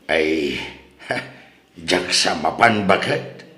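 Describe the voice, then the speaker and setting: A man's voice: sits low, animated, drawn-out words, pushed and bright, reverberant, exaggerated voice. Man playing a swooping, grumbling Ilocano husband. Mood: baffled, reluctant. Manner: exclaiming, protesting, hesitant. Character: reluctant, grumbling Ilocano husband, easily talked round. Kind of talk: comedy drama acting.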